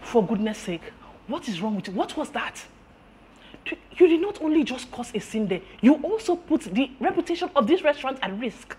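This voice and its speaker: An actress playing a stern, unyielding restaurant boss with a Nigerian accent, scolding emphatically in a screen drama. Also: female voice, high-pitched, very animated, moderate pace, darkish tone, slight background noise. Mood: angry scolding. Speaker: restaurant manager.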